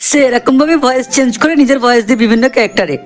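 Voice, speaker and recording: A man's voice: very high voice, racing. A man voicing an eager comic cartoon sidekick, peppering the talk with repeated filler words. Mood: chatty. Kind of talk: cartoon character addressing viewers. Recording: voice over background music.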